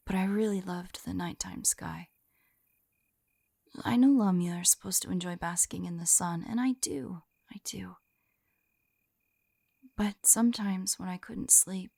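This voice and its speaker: A young woman playing a gentle, shy lamia on a first date in an intimate ASMR roleplay, soft-spoken and often whispering, hesitant and tender, close to the microphone. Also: no room sound. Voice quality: soft, breathy whispering mixed with low murmurs. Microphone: close-up microphone, dry sound.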